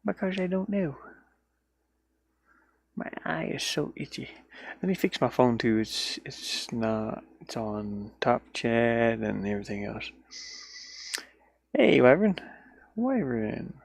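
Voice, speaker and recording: A man talks to himself in a slow, rambling way, as on a casual livestream while working. His voice is creaky with a lot of fry, and it sounds close and dry inside a van. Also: medium pitch, very animated, no room sound. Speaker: livestreamer and indie game developer. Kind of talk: casual solo livestream chat, thinking aloud while working.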